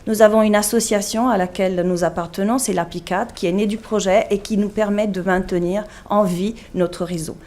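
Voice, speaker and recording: A woman's voice: mid-range voice, steady tone, fairly quick, few pauses, a little mellow. A woman speaking as a university lecturer, giving an explanatory conference presentation with frequent hesitations and self-corrections.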